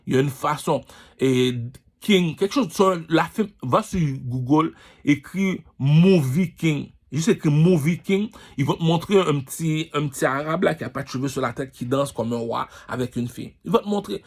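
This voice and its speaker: A man delivering an informal rant, boastful and slangy, repeating himself and firing off emphatic rhetorical questions. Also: fairly high pitch. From Canada, immigrated at age five. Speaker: singer and vlogger.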